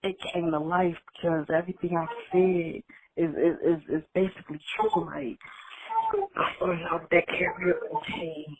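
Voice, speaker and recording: A woman rapper from Philadelphia with a Philadelphia accent, answering interview questions in a laid-back, halting, plain-spoken way. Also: lowish voice, level tone, a little hiss or hum, rough recording. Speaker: rapper guest. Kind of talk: casual interview.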